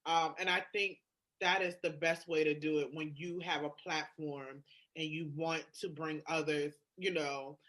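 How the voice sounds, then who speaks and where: Bright-voiced woman in podcast chat. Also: sits low, steady tone, a little slow. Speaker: podcast co-host. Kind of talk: candid casual podcast conversation.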